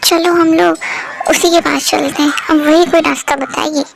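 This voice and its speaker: A woman's voice: sits high, steady tone, moderate pace, gravelly, background noise. A woman voicing a worried, stubborn village mother in a children's moral story cartoon.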